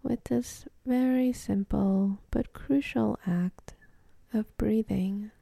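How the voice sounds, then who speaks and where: A woman leading a guided meditation in a soft, calm, half-whispered voice with long pauses between phrases. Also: medium pitch, vocal fry, breathy edge, dry recording.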